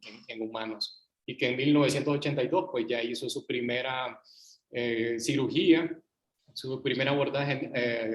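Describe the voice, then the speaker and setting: A man, hesitant and deferential, moderating an academic medical session. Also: mid-range voice. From Honduras. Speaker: surgical resident, session moderator. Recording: echoey, roomy sound.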